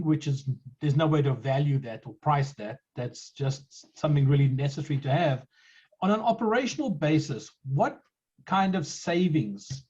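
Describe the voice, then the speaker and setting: A man's voice: a little high, darkish tone, husky, highs cut off. A middle-aged South African man hosting a conversational interview, telling stories with frequent restarts. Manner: chatty, storytelling, frequent restarts. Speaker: podcast host.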